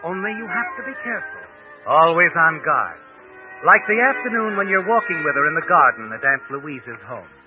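An actor playing a knowing, insinuating narrator in an old radio suspense drama, speaking dramatically. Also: male voice, fairly high pitch, sing-song, moderate pace. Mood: suspenseful. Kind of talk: 1940s radio suspense narration, second person. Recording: tinny old radio recording with music.

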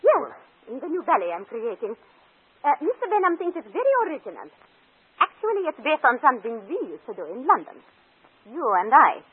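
Imperious, high-voiced vengeful ballerina. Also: female voice, wildly swooping pitch, fairly quick. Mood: coolly scheming. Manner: commanding, precise, coolly menacing. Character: imperious, vengeful former ballerina running a dance company. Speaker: actress in a radio drama. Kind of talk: old-time radio drama acting. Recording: tinny, narrow-band old radio recording.

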